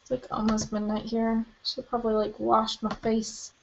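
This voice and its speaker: A young woman hosting a live book Q&A from her webcam, chatty and rambling with lots of "like" and "um", her voice a little hoarse. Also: fairly high pitch, monotone, moderate pace, dull audio, a little hiss or hum. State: slightly hoarse, losing her voice. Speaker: book vlogger. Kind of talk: live-stream Q&A.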